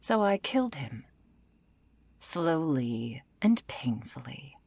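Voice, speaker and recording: A low-voiced, century-old vampire woman, played by an actress in an intimate ASMR roleplay. She speaks slowly and softly, slipping toward whispers. She is composed and wry, with polite menace and a trace of loneliness. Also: big pitch swings, no echo, exaggerated voice. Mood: cool, resentful. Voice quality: soft, breathy, often falling into whisper.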